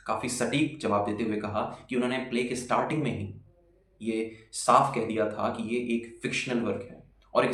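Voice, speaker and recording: A man narrating a video as dramatic, suspenseful storytelling, with pauses and rhetorical questions, in an echoing recording with music behind. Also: medium pitch, a bit bright. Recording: roomy echo, music behind.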